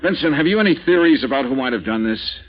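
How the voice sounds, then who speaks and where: A man's voice: medium pitch, lively intonation, natural voice. A man doing a wry radio insurance investigator. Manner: brisk, wry first-person narration. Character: wisecracking male freelance insurance investigator. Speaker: narrator and lead. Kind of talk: 1950s radio drama acting. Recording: dull vintage radio broadcast with music.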